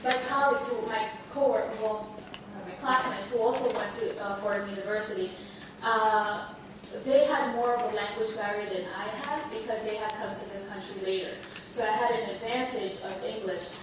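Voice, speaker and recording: A woman whose first language is Spanish, a bit under the weather, telling her story to an audience in an earnest, halting way with many restarts. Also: medium pitch. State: under the weather, slight cold. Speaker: panel speaker. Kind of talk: personal talk to an audience. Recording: echoey hall, far from mic, noisy.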